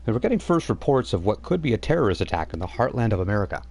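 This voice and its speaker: A man's voice: on the low side, big pitch swings, rapid-fire, gravelly, a little mellow. A man running a tabletop role-playing game over voice chat, narrating loosely with many fillers and restarts. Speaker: game master.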